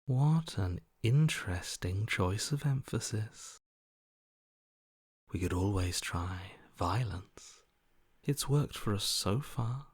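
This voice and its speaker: Casting: icy, calmly violent inner voice, video game; deadpan, hushed, very unhurried, dry close sound. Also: male voice, on the low side, slightly rough, no echo, exaggerated voice. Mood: cold menace. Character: cold, detached, menacing inner voice, calmly violent. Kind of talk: video game character acting.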